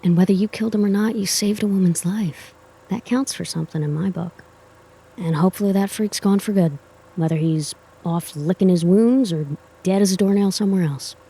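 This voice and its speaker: A woman's voice: medium pitch, fairly quick, dark tone, breathy edge. A woman playing a wry, world-weary character with a hidden kind streak in an audio drama, offhand and sarcastic. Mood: wry, reassuring. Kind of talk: dark superhero audio drama acting.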